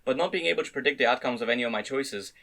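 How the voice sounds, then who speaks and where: A man's voice: mid-range voice, very fast, sharp tone. A man narrating a first-person story as an amateur dramatic reading. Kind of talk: dramatic reading of fan-read light novel narration.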